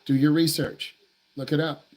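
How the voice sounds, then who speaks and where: A man's voice: fairly high pitch, flat delivery, moderate pace. A man who works as a driving instructor answers viewer questions on a live stream in a blunt, emphatic way. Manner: blunt, emphatic, repeats himself for stress.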